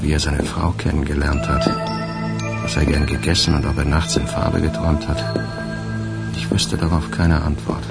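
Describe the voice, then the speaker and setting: Grim, wary man in radio crime drama, rock-bottom pitch, terse and flat, loud music behind. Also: gravelly, heavily acted. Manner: terse, brooding, controlled. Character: grim, wary man shaken by his informant's death. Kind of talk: radio crime drama monologue, inner narration.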